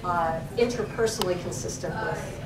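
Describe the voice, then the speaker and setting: A woman's voice: on the low side, normal speed. Woman, professor, audience Q&A, echoey room, heard from afar. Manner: thoughtful, with frequent fillers. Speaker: professor and co-editor. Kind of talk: library talk, answering questions. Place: library event room. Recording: echoey room, distant mic, noisy.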